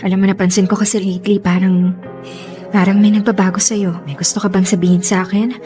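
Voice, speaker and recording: A female actor plays a young girlfriend in a Filipino radio drama. She is caring and earnest in a medium-pitched voice, gently asking for honesty. Light music runs under her lines. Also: little pitch movement, quick pace, lightly acted. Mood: concerned, tender. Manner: affectionate, earnest, gently probing.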